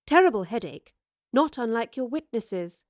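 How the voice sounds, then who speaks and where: A woman's voice: fairly high pitch, animated, moderate pace, no echo, close to an everyday voice. A woman voicing a haughty, skeptical lady. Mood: dry. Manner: clipped, dry, scornful. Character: haughty, sharp-tongued woman, proper and skeptical.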